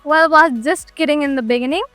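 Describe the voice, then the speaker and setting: A woman's voice: high-pitched, fast talker, fairly bright tone, dry recording, faint music behind. A woman from Nepal, a master's student, answering casual questions in a hesitant way with many fillers.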